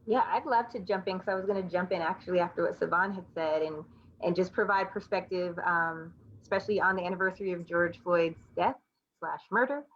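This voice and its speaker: A woman's voice: lowish voice, brisk, lo-fi sound. A woman from the United States talking candidly and conversationally as an educator and panelist in a reflective discussion. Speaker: artist, educator and student panelist.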